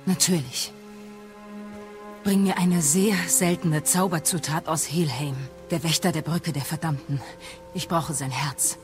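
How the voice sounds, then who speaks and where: A woman's voice: deep voice, fairly even intonation, music in the background. A woman playing a stern, solemn goddess and healing witch in a video game audio drama, grave and commanding. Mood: grave, instructive. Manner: commanding, grave, deliberate phrasing.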